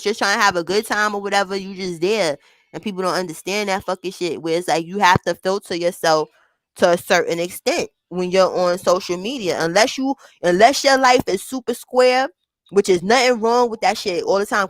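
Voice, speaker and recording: A woman with a high voice hosting a casual chat, talking in a blunt, profane, animated way. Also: fairly quick, no echo. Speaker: host.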